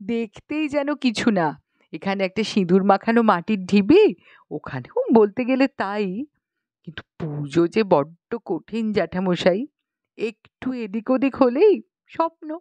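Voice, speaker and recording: A woman's voice: medium pitch, lively intonation, measured pace, mellow, no echo, close to an everyday voice. A woman playing a chatty, pious village matron. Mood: devout, earnest. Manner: colloquial rural Bengali speech, exclamatory, explaining with rhetorical questions. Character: chatty pious young village matron, knowing, indulgently instructive. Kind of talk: audiobook character dialogue.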